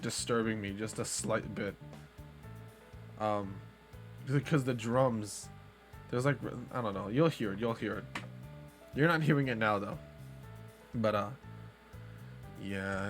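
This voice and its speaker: Laid-back rambling young man streamer. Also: fairly low pitch. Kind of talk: casual live-stream chat.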